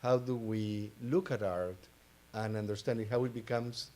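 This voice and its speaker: Low-voiced man with an Argentine accent. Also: fairly even intonation, moderate pace, warm tone. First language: Spanish. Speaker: panelist, art advisor. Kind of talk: informal panel talk, personal introduction.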